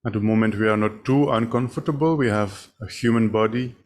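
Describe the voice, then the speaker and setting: A man leading a guided meditation as a teacher, speaking in short, measured phrases with long pauses between them. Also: on the low side, darkish tone. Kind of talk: guided meditation, spoken instruction.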